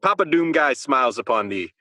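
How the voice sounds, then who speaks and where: A man's voice: mid-range voice, very animated, fairly quick, bright, forceful tone, no echo. A man co-hosting a podcast, chatting casually into a close microphone. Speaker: podcast co-host. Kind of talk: casual chat.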